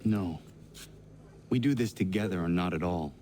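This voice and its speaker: An actor playing an earnest, idealistic young assassin in a video game, speaking formally and bluntly. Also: male voice, deep voice, warm tone, close to an everyday voice. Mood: resolute. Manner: formal, blunt, measured wording. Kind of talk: video game cutscene acting. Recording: faint background ambient noise.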